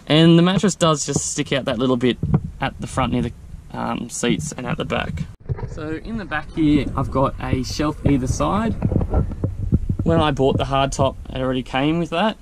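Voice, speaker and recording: A man casually walking through his vehicle camping setup, explaining in a relaxed, practical way with fillers. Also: mid-range voice. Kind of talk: casual walkthrough vlog. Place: outdoors beside a vehicle in a grassy field. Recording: faint wind noise outdoors.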